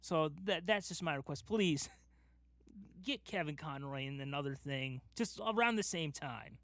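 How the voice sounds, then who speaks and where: A man's voice: a little high, lively intonation, normal speed, a bit bright, no echo. A man co-hosting a casual fan podcast, talking in an emphatic, enthusiastic way and repeating himself for stress. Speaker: podcast host.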